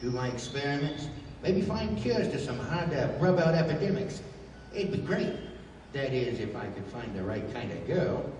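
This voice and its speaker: An actor playing a bumbling, hot-tempered brawny adventurer in 1930s radio style, talking in slang. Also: male voice, medium pitch, big pitch swings, moderate pace, a little mellow, natural voice. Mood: bashful, wooing. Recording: echoey hall, distant mic, faint noise.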